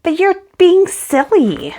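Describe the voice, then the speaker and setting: Lady doing a little schoolgirl from a storybook, way up high, touchy but loyal to her friends. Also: sing-song, slow pace, warm tone, heavily acted. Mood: indignant.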